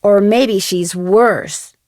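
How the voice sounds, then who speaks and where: A woman's voice: mid-range voice, drawn-out words, darkish tone, no room sound, clean studio sound. An actress playing a curious, determined young woman detective and dutiful daughter in a video game, polite and earnest. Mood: determined, suspicious. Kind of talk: scripted game voice acting.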